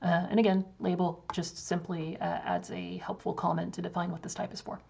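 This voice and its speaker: A young woman with a voice going hoarse gives a casual, filler-heavy walkthrough of software on a screen share. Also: fairly low pitch, little pitch movement, fairly quick. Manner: casual, loose, many fillers and restarts. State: losing her voice. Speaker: developer demoing software.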